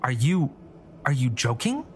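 A man's voice: medium pitch, very animated, unhurried, slight background noise. A man voicing a nervous young male warden who talks stiffly and stammers when flustered.

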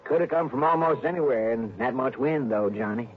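A man's voice: mid-range voice, fairly quick, slightly rough, lightly acted. Folksy American resort manager in old radio drama, played by a man. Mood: earnest, confiding. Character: friendly, folksy male fishing resort manager. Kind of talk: 1960s radio drama acting. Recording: dull, noisy vintage radio recording.

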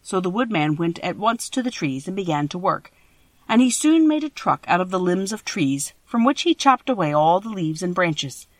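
A woman's voice: lowish voice, sing-song, normal speed, no room sound. A woman reading a children's story as scripted audiobook narration.

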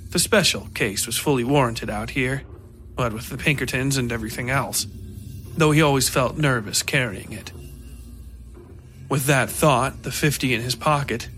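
A man's voice: medium pitch, unhurried, fairly bright tone, soft background music. A man reading scripted horror fiction as the narrator of an audio story.

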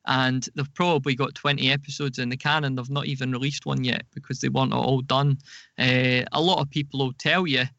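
A man's voice: mid-range voice, level tone, brisk, gravelly, pushed and bright, no room sound. A man speaking as a podcast guest, explaining things conversationally with asides, on a muffled recording. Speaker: guest audio drama producer.

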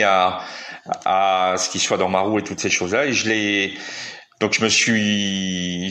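A man's voice: fairly low pitch, bright, forceful tone. A man in his forties from eastern France, a cycling coach speaking as a guest, hesitant with many fillers and self-corrections. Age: 40s. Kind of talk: casual interview.

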